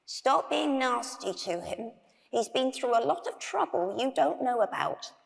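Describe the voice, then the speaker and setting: A croaky old toy car from a children's storybook, acted out by a woman, earnest and protective, pitched a little above an everyday voice. The words ring out in a long hall reverb. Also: expressive, measured pace, exaggerated voice.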